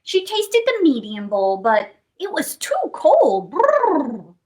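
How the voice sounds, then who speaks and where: A woman's voice: high-pitched, slow pace. A woman hosting children's storytime, reading expressively and playfully, putting questions to young listeners. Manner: expressive, playful, asks listeners questions and adds sound effects. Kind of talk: animated picture-book read-aloud for young children.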